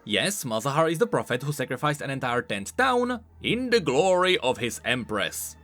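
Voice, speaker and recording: A man's voice: medium pitch, sing-song, normal speed, fairly bright tone, faint music behind. A man hosting a scripted explainer on video game lore, talking informally with emphatic, swooping stresses.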